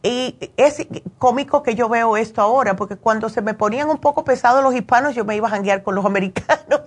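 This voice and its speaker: A woman's voice: fairly high pitch, fast talker, husky. A woman from Cuba, raised in the United States, hosting a call-in show and chatting conversationally, often checking for agreement. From born in Cuba, raised in the United States. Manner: chatty, frequently seeks agreement with tag questions. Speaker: radio call-in show host. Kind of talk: conversational advice talk with personal anecdotes.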